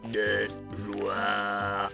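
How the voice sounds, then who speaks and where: A man playing a deadpan microbe teacher in a cartoon dub, speaking in a drone. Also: lowish voice, drawn-out words, gravelly, loud music behind the voice, exaggerated voice. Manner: deadpan, monotone despite stated joy.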